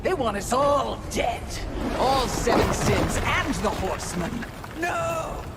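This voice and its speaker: An actor voicing an envious, scheming shape-shifting demon who taunts slowly, with loud game music behind. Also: mid-range voice, sing-song. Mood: triumphant. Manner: gloating, slow and taunting.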